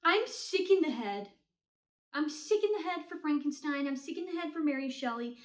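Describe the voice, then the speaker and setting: A woman's voice: sits high, animated, moderate pace. A woman giving a casual, chatty book review with blunt, hyperbolic opinions and slangy phrasing. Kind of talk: casual chatty ranking of books read. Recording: roomy, echoey sound.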